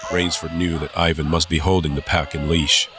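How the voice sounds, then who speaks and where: A man narrating a dramatized audiobook with suspenseful, deliberate phrasing. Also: extremely low pitch, little pitch movement. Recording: clear background ambience noise.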